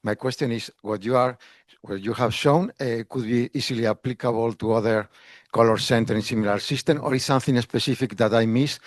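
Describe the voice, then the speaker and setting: A man in the audience asking a researcher a question, halting and searching for words. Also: medium pitch, no room sound, studio quality.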